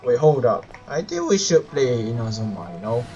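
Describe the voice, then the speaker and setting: A man's voice: mid-range voice, big pitch swings, normal speed, warm tone. A man who speaks English as a second language, chatting playfully and informally as a gaming live streamer. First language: not English. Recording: muffled mic, game music behind.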